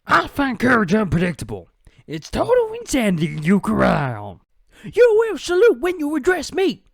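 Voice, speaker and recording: Shrill manic little warrior. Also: male voice, huge pitch swings, moderate pace, gravelly, no echo, clean studio sound, heavily acted. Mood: manic, blustering. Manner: blustering, shouting. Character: manic, cantankerous little warrior barking orders. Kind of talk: video game character impression.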